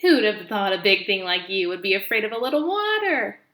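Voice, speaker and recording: A woman's voice: medium pitch, expressive, a little fast, fairly bright tone, echoey room, close to an everyday voice. A woman voicing a motherly old female goose in a storybook, cheerful, bossy and emphatic with her advice. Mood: breezy, reassuring.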